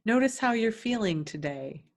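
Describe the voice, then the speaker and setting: A woman leading a guided meditation as a teacher, in a gentle, soothing, instructive way with long pauses between phrases. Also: on the high side, animated.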